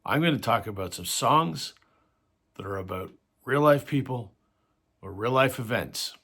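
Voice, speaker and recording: A man with a bright, forceful voice talks casually to camera about his record collection. He is slightly hoarse from a cold and fills his stories with ums and uhs. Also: mid-range voice, expressive, unhurried. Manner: conversational storytelling with frequent fillers. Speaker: host of a vinyl record channel.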